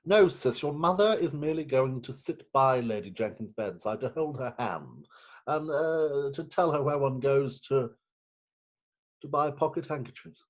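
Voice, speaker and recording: A man playing a flustered, animated British magistrate. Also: medium pitch, a little slow, lo-fi sound. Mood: alarmed, scandalised. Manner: fussy, dithering, exclamatory. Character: flustered, proper middle-aged magistrate, indulgent stepfather. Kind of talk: theatrical staged reading of Victorian farce. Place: home, recorded remotely.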